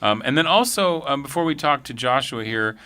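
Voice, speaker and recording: A man's voice: medium pitch, lively intonation, fairly quick, sharp tone, clear, resonant tone. A man hosting a radio show, chatting casually with guests and asking questions in a live studio interview.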